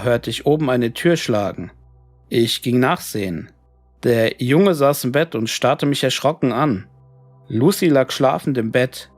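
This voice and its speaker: A man reading a scripted horror story in a measured, clearly read narration. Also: medium pitch, no room sound. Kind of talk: scripted creepypasta audiobook narration of emails and diary entries.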